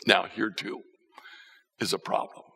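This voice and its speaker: An older man preaching a sermon from a church stage, telling stories and swinging between soft and emphatic lines. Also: measured pace. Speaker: pastor. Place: church stage with band instruments. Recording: church hall reverb.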